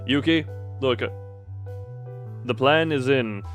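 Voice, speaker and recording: A man's voice: medium pitch, slow, soft background music. A man hosting a casual livestream, chatting with viewers in a jokey, playfully mock-dramatic way. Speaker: livestream host.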